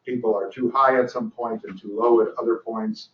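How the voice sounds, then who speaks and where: A man's voice: mid-range voice, steady tone, a little fast. An older man lectures at a training session, talking loosely with many fillers and dry asides. He is heard from some distance across a reverberant room. The recording is dull and muffled. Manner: dry humor, frequent fillers and restarts. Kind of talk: conference lecture.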